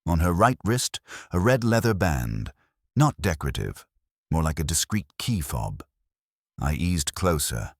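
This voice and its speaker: Very deep man narrating a hard-boiled story. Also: big pitch swings, a little slow, dry recording, clean studio sound. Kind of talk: scripted first-person detective narration.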